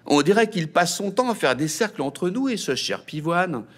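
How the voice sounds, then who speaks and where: Man doing a cheeky boy flower, teasing, raised pitch, husky. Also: sing-song, moderate pace, fairly bright tone, full character voice. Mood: sly. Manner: mocking and chattery.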